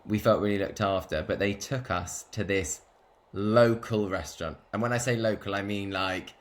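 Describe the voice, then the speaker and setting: A man's voice: fairly low pitch, moderate pace. Chatty man telling stories. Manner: chatty storyteller, laughs often. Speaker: co-host. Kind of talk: casual chat.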